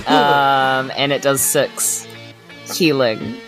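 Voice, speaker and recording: A woman's voice: extremely low pitch, fairly even intonation, very slow, over music, low-quality recording. A woman with a New Zealand accent, playing in a tabletop game, chatty and playful with rules questions. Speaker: player. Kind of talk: tabletop game play chat.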